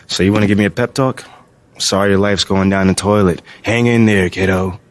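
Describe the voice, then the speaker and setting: A man's voice: low-pitched, steady tone, normal speed, no room sound, natural voice. A man playing an earnest, proud but unsure basketball player in his twenties, talking casually and hesitantly in a naturalistic game cutscene. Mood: sarcastic, defensive. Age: 20s.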